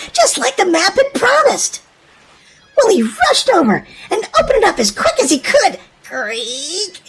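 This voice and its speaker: Female children's story narrator, very high pitch, hugely expressive and dramatic, faint noise behind.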